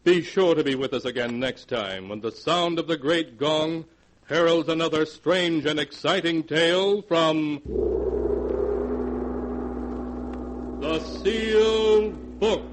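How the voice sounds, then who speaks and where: A man's voice: fairly high pitch, a little slow, natural voice. A man acting as the solemn, theatrical host of a 1940s radio horror anthology, narrating with ominous gravity. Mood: grandly foreboding. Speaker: announcer and host. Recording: noisy vintage 1940s radio, long reverb.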